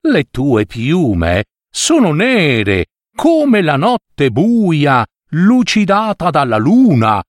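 A man voicing a sly, flattering fox with a wheedling, drawn-out manner. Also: fairly high pitch, no echo, studio quality, voice pushed up, full character voice. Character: sly flattering vixen, sweet-talking and mocking.

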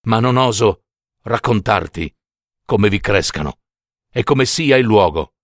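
Man voicing grave, low-voiced Death, slow and solemn. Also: animated, no room sound, clean studio sound. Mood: solemn, implacable. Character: Death, solemn, cold, stern male gardener of souls.

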